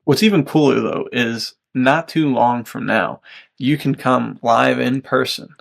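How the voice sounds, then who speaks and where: A man's voice: medium pitch, a little slow, vocal fry. A man hosting a podcast in an enthusiastic, casual, chatty way. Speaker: podcast host.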